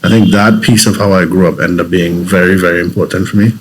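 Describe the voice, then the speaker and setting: A man with a Trinidadian accent and a very low voice speaks as an interview guest. He tells his story in a reflective, earnest way, often restarting his sentences as he thinks aloud. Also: steady tone, quick pace, breathy edge, low-quality recording. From Trinidad and Tobago.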